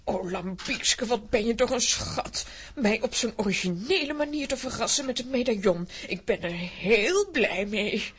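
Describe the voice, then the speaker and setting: A woman's voice: on the high side, animated, a little slow, fairly bright tone, breathy edge, heavily acted. A woman playing a fickle, sentimental grown woman in a children's radio play, theatrical. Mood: gushing. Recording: faintly noisy recording with clicks.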